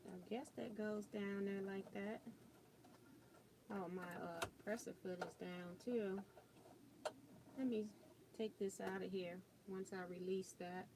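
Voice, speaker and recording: A woman hosting a live sewing stream, chatting casually and thinking aloud as she works. She has a loud voice and chuckles often. She is recorded on a laptop mic about four feet away in a home sewing room. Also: medium pitch, fairly even intonation, slow pace, long pauses, background noise. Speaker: quilting and sewing livestream host. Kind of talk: casual live demo chat. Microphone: built-in laptop mic about four feet away.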